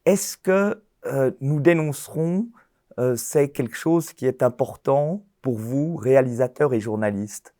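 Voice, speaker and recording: A man hosts a festival interview at a slow, unhurried pace, his voice sitting in a mid register. He stumbles through restarts and fillers as he frames his questions. The sound is close and dry, with no room around it. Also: medium pitch, dark tone, no echo. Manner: halting, many fillers and restarts. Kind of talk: hesitant formal interview.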